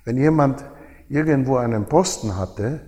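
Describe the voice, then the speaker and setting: An older man from Austria speaks with an Austrian accent at a middling pitch. He reflects as an interviewee, halting and reformulating his phrases. His voice carries the long reverb of a hall. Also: dark tone. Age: older, over 70. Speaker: interviewee, former journalist.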